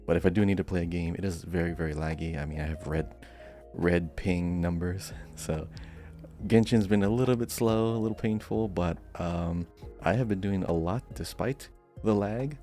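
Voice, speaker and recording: A man's voice: low-pitched, moderate pace, warm tone, faint music behind. A man co-hosting a podcast, chatting casually in a laid-back way. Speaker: podcast co-host. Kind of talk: casual chat.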